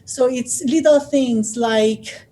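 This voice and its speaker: A woman from Haiti, telling her own story as a guest in a thoughtful way, often pausing with fillers and restarting. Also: sits high, mellow. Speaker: author and guest speaker. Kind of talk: personal storytelling.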